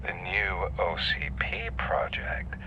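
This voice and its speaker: An actor playing a smug, cryptic prisoner who talks softly in a half-whisper. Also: male voice, low voice, huge pitch swings, slow, lo-fi sound, heavily acted. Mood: sly. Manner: soft, insinuating, often half-whispered. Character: scheming imprisoned male mastermind, smug and cryptic. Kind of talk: video game cutscene dialogue.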